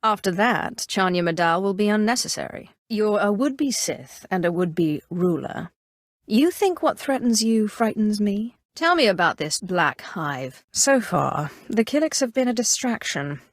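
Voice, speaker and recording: An actress playing a cool, ambitious Sith inquisitor in a video game, formal, haughty and commanding in measured, scripted lines. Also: female voice, mid-range voice, expressive, no echo, clean studio sound, lightly acted. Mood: haughty, stern.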